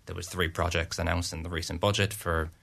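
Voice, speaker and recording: Young Irish man, very low and gravelly, stop-start interview talk. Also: flat delivery, fairly bright tone. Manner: halting, many restarts and fillers. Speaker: student union representative. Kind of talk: radio interview answers.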